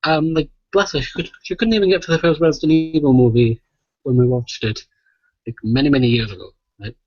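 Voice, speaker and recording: A man's voice: fairly high pitch, a little fast. A man hosting a podcast, telling rambling, sweary anecdotes in casual chat and often checking in with "right?". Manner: sweary, animated storytelling with frequent "right?" tags. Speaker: podcast host. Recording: muffled, echoey voice-chat recording.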